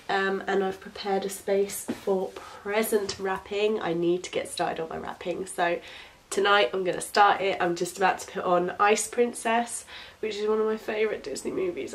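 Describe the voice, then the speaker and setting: A young woman vlogging from her living room at night, holding the camera at arm's length. She sounds stuffed up from a head cold, and her talk is chatty and quick to giggle. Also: medium pitch, slight background noise. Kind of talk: casual vlog chat. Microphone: handheld camera at arm's length.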